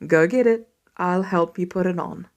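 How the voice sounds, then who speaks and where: A woman's voice: on the low side, normal speed, highs cut off, pitched below the performer's own voice, lightly acted. Woman doing a protective dad in a story, patient, sympathetic, teases a little. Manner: sympathetic, gently teasing. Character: patient, wry, protective adult father.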